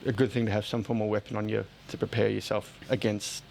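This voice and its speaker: A man's voice: lowish voice, flat delivery, fast talker, gravelly, darkish tone, a little hiss or hum. A man with an Australian accent chatting casually as a podcast host, blunt and joking as he rambles along. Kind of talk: casual chat.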